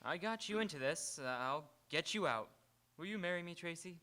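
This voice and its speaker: A man's voice: a little high, expressive, normal speed, pushed and bright, slight background noise. A young man playing a candid, gallant, earnest suitor in live radio theatre. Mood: earnest, admiring.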